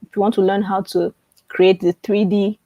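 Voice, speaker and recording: A woman hosts an informal live online class in a medium-pitched voice. She repeats key phrases and checks in with viewers often. The sound is close and dry, with little room echo. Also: flat delivery, moderate pace, dark tone, muffled recording. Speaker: online publishing coach hosting a live webinar. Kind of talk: informal live teaching and promotion, answering viewer questions.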